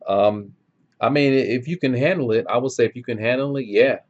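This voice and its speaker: A man's voice: medium pitch, brisk. A man hosting a language-learning channel, answering viewer questions in an easygoing, unscripted way and thinking aloud as he goes, filmed on his own camera. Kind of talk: casual unscripted chat. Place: filming himself on camera at home. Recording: dull lo-fi recording.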